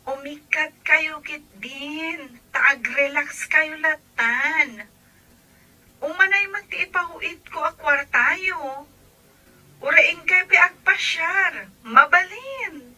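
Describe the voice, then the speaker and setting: A woman playing a bright-voiced caring daughter on the phone. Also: sits high, takes it slowly, exaggerated voice, rough recording. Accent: Ilocano. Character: young adult daughter working abroad, caring.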